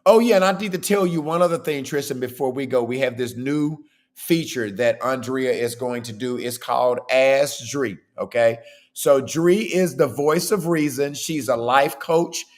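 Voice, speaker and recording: A man hosting a podcast in a casual, chatty way. His voice is hoarse and worn, and he keeps checking in with "okay?". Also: mid-range voice, normal speed. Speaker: podcast host.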